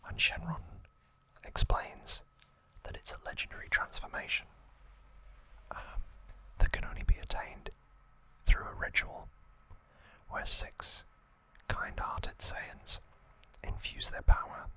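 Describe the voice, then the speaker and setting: A man speaks entirely in a breathy, crisp-edged whisper as an ASMR host. He goes very slowly through a story recap, breaking it into short hushed phrases with gentle pauses. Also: poor audio.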